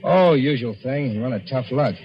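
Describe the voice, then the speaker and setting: Actor as sly, wheedling drifter, muffled vintage radio. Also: male voice, medium pitch, animated, moderate pace, natural voice. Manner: wheedling, insinuating, colloquial. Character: sly, down-on-his-luck old shipmate turned blackmailer. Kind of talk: old-time radio thriller acting. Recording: muffled old radio recording.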